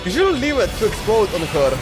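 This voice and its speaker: A man with a high-pitched voice gives casual live commentary as a game streamer. He is informal and exclamatory, reacting as he plays, with game music behind him. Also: wildly swooping pitch, a little fast. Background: video game music.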